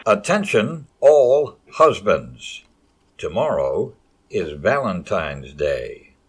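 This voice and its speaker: A man's voice: on the low side, very animated, very slow, husky, exaggerated voice. A man playing a showy old-time radio announcer, theatrical and emphatic. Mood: wry, theatrical. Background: music.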